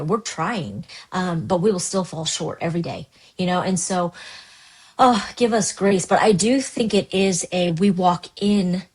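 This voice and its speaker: Husky earnest woman pastor, lowish voice. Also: poor audio. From United States, Chinese immigrant family. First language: Cantonese. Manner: earnest, animated, many restarts. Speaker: pastor, guest. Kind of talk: candid conversational podcast talk.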